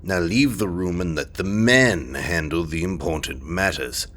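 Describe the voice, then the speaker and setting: A man's voice: low-pitched, a little slow, fairly bright tone. A man playing a haughty, dismissive king in a fantasy audio drama, speaking in a pompous, formal, condescending way. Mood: stern, dismissive.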